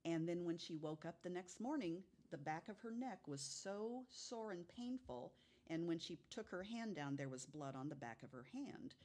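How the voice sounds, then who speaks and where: A woman's voice: on the low side, expressive, moderate pace, dark tone. A woman telling stories of unexplained cases in a casual way, as a guest on a podcast.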